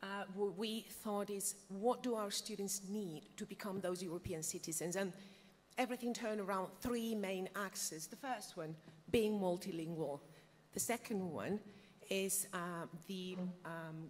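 A woman in her forties speaks as a presenter on a conference panel. Her pitch is mid-range, and the microphone carries her voice into a reverberant hall. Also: unhurried, darkish tone. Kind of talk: semi-scripted presentation. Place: indoor hall, panel table. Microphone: table microphone on stand.

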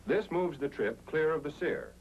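A man's voice: a little high, lively intonation, moderate pace, creaky, dull audio. An American man narrating an instructional film in 1940s style, explaining things in a methodical, step-by-step way. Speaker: narrator of a military training film. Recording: noisy 1940s film soundtrack with hum.

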